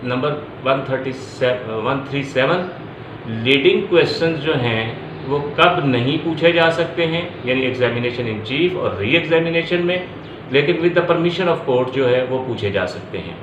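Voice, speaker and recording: A man's voice: mid-range voice, expressive, a little slow. A man giving an explanatory law lecture, going step by step and repeating key points as he teaches. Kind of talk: explanatory lecture mixing Urdu and English. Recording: echoey room, faint background noise.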